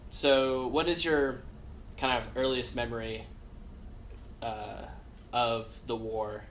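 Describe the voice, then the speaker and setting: A young man acts as a student interviewer, feeling his way through questions with lots of ums and uhs. His voice sits at an ordinary middle pitch, with faint ambient noise in the background. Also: steady tone, slow pace, a bit bright, poor audio. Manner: hesitant questions with many fillers. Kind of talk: oral history interview.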